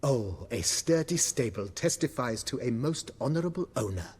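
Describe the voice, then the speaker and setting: A man playing a deferential, fussy butler in a video game, with a breathy, husky voice and formal, florid, obsequious speech. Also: mid-range voice, lively intonation, moderate pace, a little mellow, in a large hall. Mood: eager, deferential. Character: deferential, fussy, eloquent male butler of an estate.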